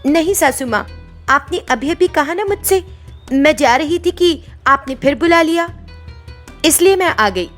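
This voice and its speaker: A woman's voice: high voice, expressive, measured pace, fairly bright tone, soft background music, voice pushed up. A woman voicing a meek, sorrowful young daughter-in-law, submissive and plaintive. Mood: meek, apologetic.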